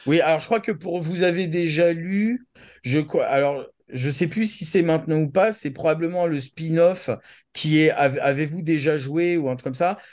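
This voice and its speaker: Guy co-hosting, kinda higher voice, slangy casual explainer chat. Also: normal speed, a little mellow. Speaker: co-host of a pop-culture web show.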